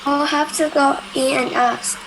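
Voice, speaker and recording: A young girl plays an anxious, well-mannered schoolgirl in a children's radio play. Her high child's voice, and there is clear noise from the home video-call recording. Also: steady tone, a little slow.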